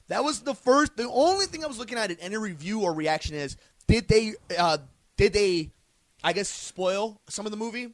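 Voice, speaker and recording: A young man co-hosting a casual podcast chat, excitable, hedging and restarting his sentences. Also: high voice, moderate pace, no echo. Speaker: podcast co-host.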